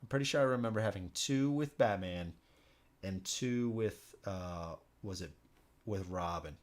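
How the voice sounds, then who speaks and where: A man hosting a solo board game stream, talking informally, thinking out loud and often repeating himself as he plays. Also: deep voice, unhurried. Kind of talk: casual live stream commentary while playing.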